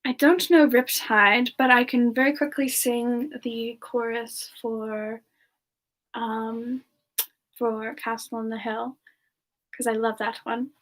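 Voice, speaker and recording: Frostbyte, a woman as a gentle, slow-talking high-voiced character. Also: little pitch movement, rough recording. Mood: affectionate, relaxed. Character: Frostbyte, gentle affectionate character who loves hugs. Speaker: live stream host. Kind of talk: soft semi-ASMR casual chat with viewers.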